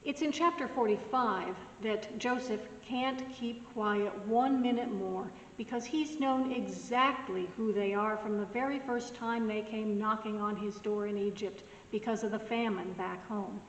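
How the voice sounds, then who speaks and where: A woman pastor giving a soft-spoken, gently conversational sermon message. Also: mid-range voice, steady tone, unhurried, hardly any pauses, muffled recording, a little hiss or hum. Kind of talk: recorded weekly sermon message. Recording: roomy echoing hall, mic at a distance.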